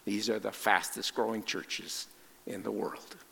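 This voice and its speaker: A man preaching a sermon as a missionary, speaking deliberately and emphatically in short phrases with pauses, in a large reverberant space. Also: fairly low pitch, fairly even intonation, creaky, pushed and bright, slight background noise. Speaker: preacher and cross-cultural missionary. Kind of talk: sermon with scripture quotations and rhetorical questions.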